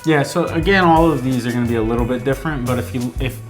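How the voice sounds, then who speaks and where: A man's voice: mid-range voice, fast talker. Man, casual co-host chat, loose and rambling, fillers and restarts, faint music underneath.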